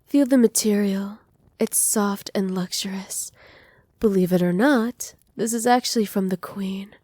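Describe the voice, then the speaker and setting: Woman playing a doting, possessive witch, mellow and close. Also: mid-range voice, measured pace, no echo, natural voice. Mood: doting, proud. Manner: soft, teasing, condescendingly affectionate. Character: doting, possessive witch mistress pampering her captive pet. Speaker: voice actor. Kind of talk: ASMR roleplay.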